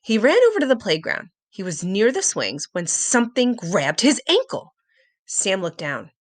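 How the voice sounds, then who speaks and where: A woman narrating a children's story aloud in an expressive, dramatic storytelling manner. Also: mid-range voice, moderate pace. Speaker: storybook reader.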